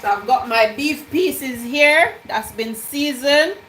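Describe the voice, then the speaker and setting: Woman, teasing, slow unhurried talk, home kitchen, faint background hum. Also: sits high, lively intonation, fairly bright tone. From Jamaican heritage. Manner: playful, teasing, joking with chat. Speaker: cooking livestream host. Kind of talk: casual live cooking chat, reading out viewer comments.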